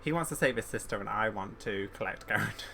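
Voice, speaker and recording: A man's voice: on the low side, animated, fast, gravelly, bright, forceful tone, slight background noise. A man giving casual, rambling commentary as a game streamer, thinking aloud and opinionated.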